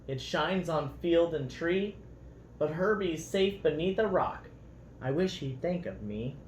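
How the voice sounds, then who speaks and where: A man's voice: a little high, lively intonation, unhurried. A man voicing a sweet, adoring female slug poet, reciting singsong verse from a storybook. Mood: wistful. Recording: roomy echo, faint background noise.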